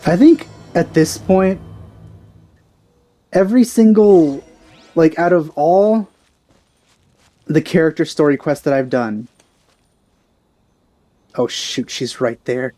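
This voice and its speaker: A man's voice: on the high side, animated, slow, long pauses, dark tone, faint music behind. A man giving casual commentary on a game he is playing, musing and thinking aloud. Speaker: let's play gamer. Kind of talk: casual blind playthrough commentary.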